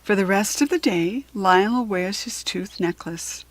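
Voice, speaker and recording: An American woman reading a children's picture book aloud in an expressive, deliberate, teacherly way. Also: mid-range voice, no echo.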